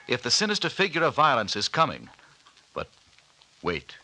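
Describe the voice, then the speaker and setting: A man's voice: mid-range voice, huge pitch swings, moderate pace, pushed and bright, faint music behind, exaggerated voice, low-quality recording. A man as a suspense-building narrator, delivering ominous, theatrical narration in melodramatic 1940s radio style. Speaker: announcer and narrator. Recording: hissy old radio recording.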